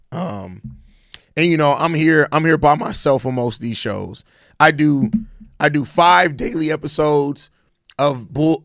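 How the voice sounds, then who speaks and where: Hoarse american guy doing a solo sports stream, raspy n gravelly, slangy, repeats himself, dry close sound. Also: a little high, fairly bright tone, dry recording. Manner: slangy, emphatic, repeats phrases. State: hoarse, voice going out from overuse. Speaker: solo sports podcast host. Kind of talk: casual live-stream sports chat answering viewer comments.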